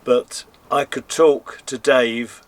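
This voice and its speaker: An unhurried male presenter with a mid-pitched voice talks through a piece of gear. He explains carefully and informally, taking his time over each phrase. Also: steady tone. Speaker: presenter reviewing and testing gear. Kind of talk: informal product review commentary.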